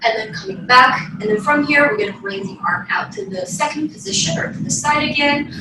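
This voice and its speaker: High-pitched woman teaching in an echoey room. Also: fairly quick, background noise. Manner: encouraging, counting and cueing movements. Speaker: ballet teacher. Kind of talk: instructional dance class, calling out steps. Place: bedroom at home. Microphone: camera across the room. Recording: echoey room, distant mic, piano music. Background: piano music.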